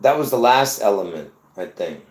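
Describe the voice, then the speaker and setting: Excitable man rambling in an echoey room. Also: on the low side, moderate pace. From Lebanon. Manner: excitable, repeats himself, laughs between points. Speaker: video host. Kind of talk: rambling casual monologue to viewers.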